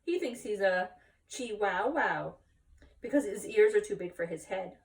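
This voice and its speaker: A woman's voice: medium pitch, lively intonation, unhurried, far from the mic. A woman voicing chattering, teasing young sister kittens in a children's storybook. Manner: teasing, silly.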